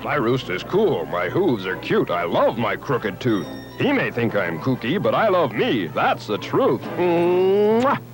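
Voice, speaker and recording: A silly, proud cartoon moose, slow and wildly animated, boasting in rhyme. Music plays under the old television recording. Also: male voice, mid-range voice, rough recording. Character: goofy, self-loving cartoon moose.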